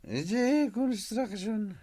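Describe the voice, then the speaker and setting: A man's voice: sits high, lively intonation, slow pace, warm tone, full character voice. A man with an Ilocano accent playing a nosy, nagging village friend in a comedy drama.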